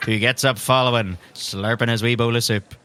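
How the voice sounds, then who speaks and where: A man's voice: medium pitch, fairly even intonation, moderate pace, pushed and bright, clean tone. A man acting as game master, narrating an improvised tabletop roleplay session.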